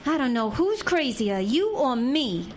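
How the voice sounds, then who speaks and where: A woman's voice: high voice, sing-song, a little hiss or hum. A woman playing a sassy, reluctant young Texas bride with a folksy Southern accent in a radio drama. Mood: exasperated. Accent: Southern US, Texan. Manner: folksy, exclamatory, drawn-out delivery. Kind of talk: audio drama acting.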